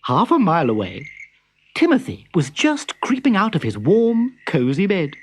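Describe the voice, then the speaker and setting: A man reading a children's story aloud with lively, expressive narration. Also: high-pitched, normal speed, breathy edge. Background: background music.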